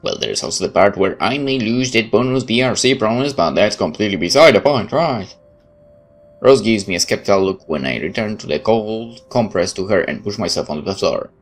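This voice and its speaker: A man's voice: on the low side, lively intonation, a little fast. A man playing through a game, reading its text aloud and adding sarcastic asides. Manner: sarcastic, interjecting quips. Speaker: let's player.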